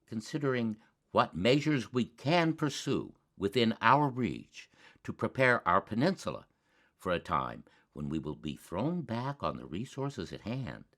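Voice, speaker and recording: A man hosting a show, delivering a scripted commentary monologue in deliberate, rhetorical phrases with dramatic emphasis. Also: lowish voice, bright, forceful tone. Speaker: host of a climate commentary show.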